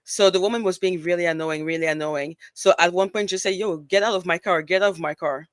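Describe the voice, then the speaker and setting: A woman's voice: on the low side, fairly even intonation, quick pace, dry recording. A woman from Montreal, Canada, chatting casually as a panelist and telling anecdotes in a conversational way. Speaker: panelist on an online show.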